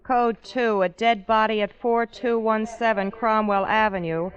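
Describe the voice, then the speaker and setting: Woman acting slow, official police radio dispatcher. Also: on the high side, lightly acted. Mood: impassive, official. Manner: flat, official, deliberate announcing. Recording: muffled vintage radio recording.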